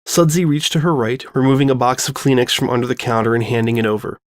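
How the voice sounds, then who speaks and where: A man's voice: medium pitch, steady tone, fast talker, no echo, studio quality. A man reading a scripted story as its narrator. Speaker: narrator reading fan fiction.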